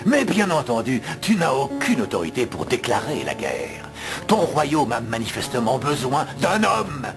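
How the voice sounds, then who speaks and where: An actor playing an arrogant, menacing false prince in a dubbed animated fantasy film, pompous and insulting. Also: male voice, mid-range voice, a little slow. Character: arrogant, menacing male usurper posing as a prince. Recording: loud music under the dialogue.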